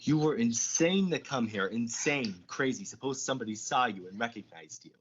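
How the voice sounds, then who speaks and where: A young man playing a troubled, secretive lover in a 1940s-style suspense radio drama, urgent and halting. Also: medium pitch, expressive, background noise, lightly acted. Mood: agitated, angry. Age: young adult. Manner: urgent, halting, repeating words. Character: troubled, secretive male lover, tender but cornered. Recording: dull lo-fi audio.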